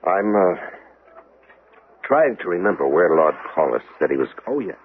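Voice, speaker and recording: An actor playing a brash, confident, blustery Roman arena fighter in a 1970s radio drama. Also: male voice, low-pitched, very animated, normal speed. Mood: confident, casual. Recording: noisy, tinny old radio broadcast.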